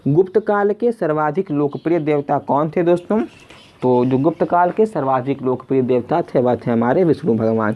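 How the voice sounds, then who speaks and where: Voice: medium pitch, lo-fi sound. A teacher narrating an exam lesson in question-and-answer form, repeating questions and addressing listeners as friends. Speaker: quiz teacher.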